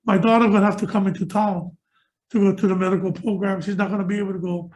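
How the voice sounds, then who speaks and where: A man's voice: high-pitched, flat delivery, quick pace, a little mellow. A man from Hawaii speaking as a state senator at a hearing, earnest and conversational, with informal phrasing. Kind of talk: legislative hearing comment. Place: hearing room.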